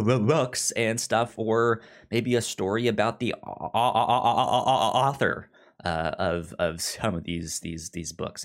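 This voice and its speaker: Stammering man hosting a casual podcast. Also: fairly low pitch. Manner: stammering, often repeats syllables and words. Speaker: podcast co-host. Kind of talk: casual book review chat.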